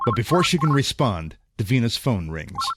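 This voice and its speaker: A man serves as narrator of a scripted audio drama, telling the action in prose. His voice sits at a medium pitch, with faint music behind it. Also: very animated, moderate pace.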